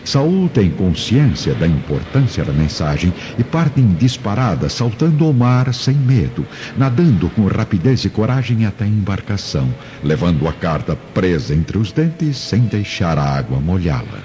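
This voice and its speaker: A Brazilian man narrates a radio drama dramatically. His voice is deep and mellow with lively intonation. The recording is a little dull. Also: moderate pace, hardly any pauses, slight background noise. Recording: dull lo-fi with music behind.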